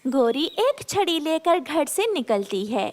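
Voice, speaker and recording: High-voiced woman narrating stories. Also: very animated, slow pace. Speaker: story narrator. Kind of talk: scripted children's story narration.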